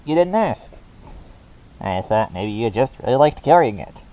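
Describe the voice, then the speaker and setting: A man's voice: mid-range voice, big pitch swings, unhurried, warm tone, voice pushed up, rough recording. A man voicing a laid-back, cheeky minion creature in a story, talking in a nonchalant, dude-like way. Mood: nonchalant, teasing.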